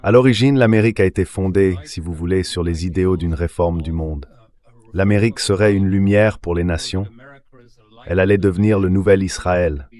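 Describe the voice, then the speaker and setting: A man reading a translated voice-over as a guest commentator, explaining things in a reflective, measured way. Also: low voice, fairly even intonation, darkish tone.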